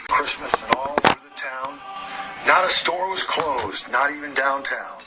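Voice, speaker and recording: Slow American man reading verse over music. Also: medium pitch, lively intonation, rough recording. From Ohio, United States. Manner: measured storytelling, pauses between short phrases. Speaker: amateur poet. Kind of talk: scripted rhyming poem reading. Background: loud music.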